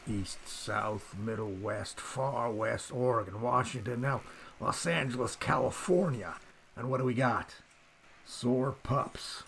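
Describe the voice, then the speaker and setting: A man playing a gruff, weary police sergeant in an old-time radio drama, slangy, sceptical and wisecracking. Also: mid-range voice, slow, a bit bright. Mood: weary, grumbling. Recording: noisy amateur radio play recording.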